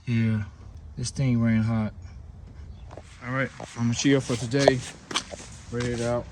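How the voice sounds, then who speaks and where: A man hosting a casual hands-on car repair vlog, with a relaxed, chatty way of talking straight to his viewers. He is sniffly from the cold, with a runny nose, and speaks outdoors beside the car. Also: mid-range voice, a little slow, very noisy. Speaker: DIY mechanic and channel host.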